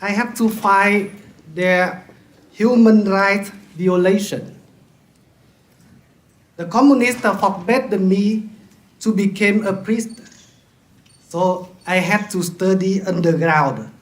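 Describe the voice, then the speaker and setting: Slow-speaking Vietnamese priest, high voice. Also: male voice. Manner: slow and deliberate, formal. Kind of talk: prepared conference speech. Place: large hotel conference hall. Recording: echoey hall.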